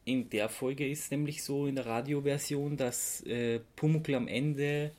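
Male podcast host, Austrian accent, conversational explainer, halting delivery with an amused edge. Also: medium pitch, fairly even intonation, darkish tone. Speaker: podcast host presenting research. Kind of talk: casual podcast chat, explaining research.

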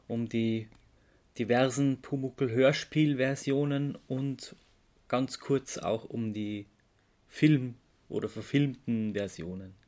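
A man's voice: medium pitch, darkish tone. A man with an Austro-Bavarian accent co-hosting a podcast, explaining things informally and correcting himself as he goes. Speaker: podcast co-host. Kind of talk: casual explanatory chat.

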